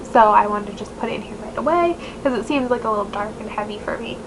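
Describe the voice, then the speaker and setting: A woman's voice: medium pitch, quick pace. A nasal-voiced woman in her twenties, chatting casually to camera as a book vlogger, rambling with many fillers. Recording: noisy home recording.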